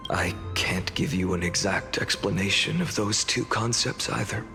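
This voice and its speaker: An actor playing a calm, weary young male hero with a measured, wistful manner, in an anime-style video game. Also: fairly low pitch, vocal fry. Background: game music.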